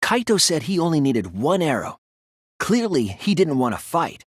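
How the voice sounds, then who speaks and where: A man voicing an earnest, soft-spoken teenage boy detective in a video game, reasoning things out deliberately with a slightly breathy voice. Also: fairly high pitch, very animated, dry recording, studio quality. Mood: analytical, composed. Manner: deliberate, earnest, analytical explaining with dramatic emphasis. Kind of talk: English video game voice acting, deductive monologue.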